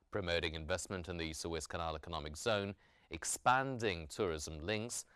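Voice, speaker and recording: Deep-voiced man reading the news. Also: fairly bright tone, smooth, no echo, clean studio sound. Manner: formal, measured newsreader delivery. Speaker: news anchor. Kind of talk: scripted news bulletin reading.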